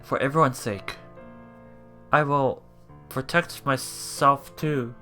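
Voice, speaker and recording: A man plays a young male visual novel hero, desperate yet determined. His mid-pitched voice is intense and pleading, with music behind it. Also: fairly even intonation, takes it slowly, sharp tone.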